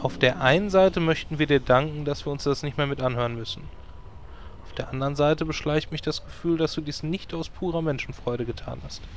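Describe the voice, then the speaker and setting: A man's voice: fairly high pitch, brisk, soft background music, close to an everyday voice. An actor playing a sarcastic male night elf druid in a fantasy parody audio drama, lecturing in a wordy, dry way. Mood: smug, dry.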